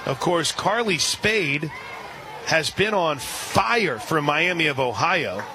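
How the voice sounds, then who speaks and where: A man calling a softball game live on radio, steady between pitches and surging loud and high on big plays. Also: takes it slowly, noisy background. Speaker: radio play-by-play announcer.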